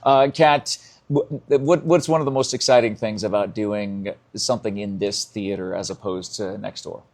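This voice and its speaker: A man's voice: on the low side, fairly even intonation, moderate pace, a little mellow. An American man hosting an upbeat live radio interview with a chatty, enthusiastic manner. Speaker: host.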